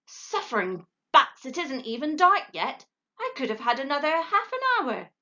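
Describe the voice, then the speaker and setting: A cranky father owl, dragged from his sleep, grumbles and blusters in a gruff, loud voice, as a woman reading a storybook puts him on. The recording sounds a little dull and lo-fi. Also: mid-range voice, animated, takes it slowly. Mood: grumpy.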